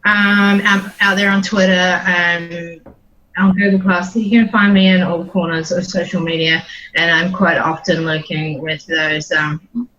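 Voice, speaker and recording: A woman's voice: fairly low pitch, level tone, unhurried, bright, forceful tone, slight background noise. A woman with a New Zealand accent hosting a casual livestream chat, rambling and chatty with plenty of ums. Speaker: host. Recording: dull lo-fi stream audio.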